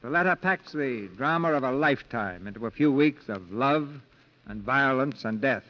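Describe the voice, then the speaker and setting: A man's voice: mid-range voice, animated, normal speed. A man hosting a 1940s radio theater, giving formal scripted narration in a polished, declamatory way. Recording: dull vintage 1940s radio with music.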